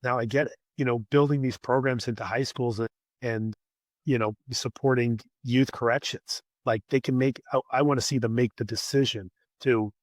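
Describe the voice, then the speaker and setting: A Canadian man being interviewed on a podcast, raspy as he loses his voice, thinking aloud with repeated words. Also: mid-range voice, steady tone, moderate pace, dry recording. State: losing his voice. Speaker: podcast guest, scaffolding company executive. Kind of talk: conversational interview.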